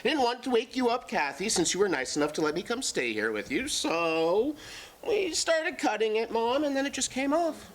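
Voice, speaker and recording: An actor playing an evasive, defensive hard-drinking adult son, halting and excitable, in a staged play reading. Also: medium pitch, lightly acted.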